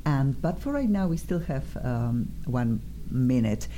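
Brief: low-voiced Polish-accented woman, radio talk host, effusive, full of ums, faint background hiss. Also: animated, unhurried, dark tone. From Poland. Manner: hesitant, many fillers, effusive. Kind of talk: live radio interview.

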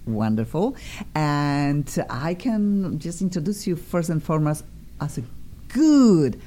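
Very low-pitched Polish-accented woman radio host. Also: sing-song, slow pace, dark tone, a little hiss or hum. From Poland. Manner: effusive, gushing, informal. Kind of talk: casual, chatty radio hosting.

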